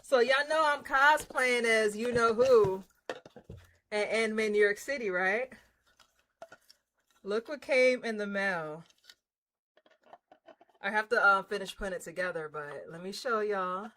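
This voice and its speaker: A woman livestreamer chatting casually with her viewers in an excitable, slangy, informal way. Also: mid-range voice, unhurried, pauses often, a little hiss or hum. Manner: excitable, hyped, effusive; slangy, informal, drops swearing in. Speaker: livestreamer hosting a game stream.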